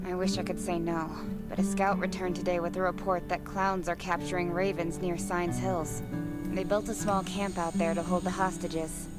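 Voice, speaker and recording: A woman acts out a composed rescue leader in a survival game who briefs a teammate. Her voice sits low and her delivery is brisk and to the point. Loud game music plays behind her. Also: level tone, natural voice. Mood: businesslike. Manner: brisk, matter-of-fact instructions. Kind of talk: game cutscene voice acting.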